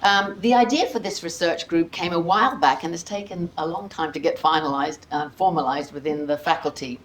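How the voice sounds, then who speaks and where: A woman's voice: on the low side, brisk. A woman welcoming an audience as host of an academic symposium, speaking informally and conversationally with casual asides. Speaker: symposium host giving opening remarks. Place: seminar room with audience. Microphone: worn microphone. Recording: roomy echo, audible background noise.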